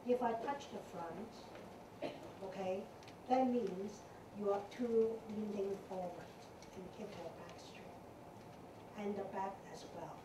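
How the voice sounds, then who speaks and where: A woman teaching a meditation class, speaking in a gentle way with simple phrasing and many fillers. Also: medium pitch. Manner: slow, gentle, non-native phrasing with fillers. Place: temple meditation hall. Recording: echoey hall, distant mic, noisy.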